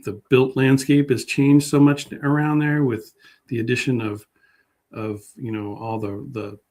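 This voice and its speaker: A man talking casually as an interview guest, halting and unassuming as he thinks aloud. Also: mid-range voice, little pitch movement, a little mellow. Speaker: guest public historian.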